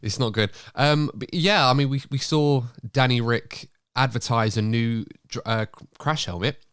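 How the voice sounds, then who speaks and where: A man hosting a podcast, chatty and upbeat, a little croaky from a cold. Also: medium pitch, moderate pace, fairly bright tone, dry recording, clean studio sound. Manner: chatty, upbeat, running the show. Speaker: podcast host. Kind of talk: casual podcast chat.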